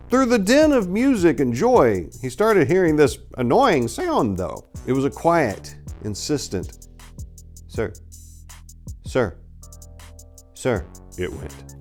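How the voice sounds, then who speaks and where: A man's voice: mid-range voice, darkish tone. A man hosting and narrating scripted dramatic readings in a theatrical, emphatic way. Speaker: channel host and story narrator.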